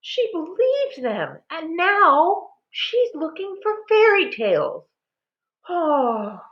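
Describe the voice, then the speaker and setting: A woman voicing an anguished, melodramatic storybook mother in a high, wailing, tearful voice. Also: very animated, takes it slowly, dull audio, exaggerated voice. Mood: wailing, despairing. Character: anguished, melodramatic mother, quick to wail and despair.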